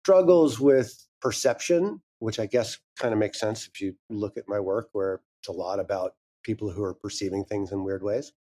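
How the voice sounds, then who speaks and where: A man's voice: on the low side, normal speed, few pauses, dark tone, a little hiss or hum. A man in his fifties, a guest speaking frankly and candidly in a casual interview, swearing now and then. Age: 50s. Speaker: guest, audio drama creator.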